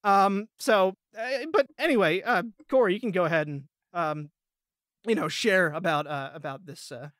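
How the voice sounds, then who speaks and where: A man hosting a podcast in casual chat, rambling and halting as he repeats words. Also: high voice, a bit bright, no room sound, studio quality. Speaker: podcast host.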